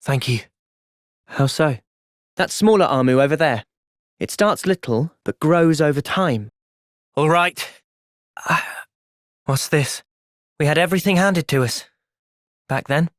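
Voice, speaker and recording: A young man playing a thoughtful, earnest young soldier in video game cutscenes, speaking in a gentle, reflective manner. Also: a little high, lively intonation, a little slow, long pauses, no echo, studio quality. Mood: calm, reflective.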